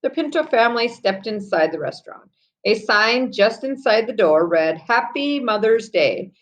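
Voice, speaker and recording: A woman reading a children's story aloud with deliberate storytelling phrasing. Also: mid-range voice, lively intonation.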